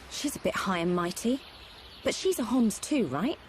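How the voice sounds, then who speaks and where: A woman's voice: a little high, animated, a little slow, a little hiss or hum. A woman playing a forthright, inquisitive young companion in a video game. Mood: sceptical. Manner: direct, questioning. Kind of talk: video game cutscene acting.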